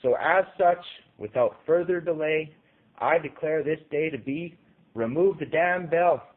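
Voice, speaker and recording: A man's voice: fairly high pitch, measured pace, narrow, tinny audio, voice pushed up. A man voicing a pompous, irritated king from a storybook, giving stern, declamatory pronouncements. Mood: proclaiming. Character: pompous, irritated king dismissing monsters, commanding a crowd. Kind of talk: storybook character voice in audio short story.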